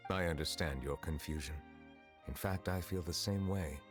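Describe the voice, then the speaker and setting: A solemn, dignified game official with a low voice. His delivery is formal, courteous and measured, with a gentle reserve. Music sits behind him. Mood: grave, reflective. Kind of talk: video game voice acting.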